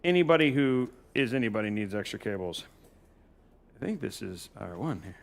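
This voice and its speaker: A man's voice: lowish voice, lively intonation, moderate pace, creaky. A young man hosting a casual live stream while building a PC, playful and teasing with his co-host.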